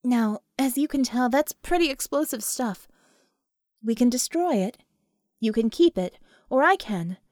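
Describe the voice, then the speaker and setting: A woman's voice: fairly high pitch, expressive, measured pace, a little mellow, no echo, studio quality. A woman narrator voicing a frank, savvy female mage in an audiobook, matter-of-fact in manner. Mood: businesslike.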